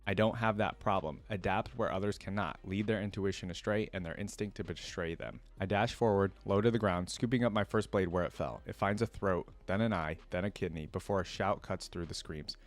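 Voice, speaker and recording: Low-voiced man reading and reacting. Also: brisk, few pauses. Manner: casual, expressive, interjects own reactions. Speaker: reaction video host. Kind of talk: reading a story aloud with off-the-cuff fan reactions.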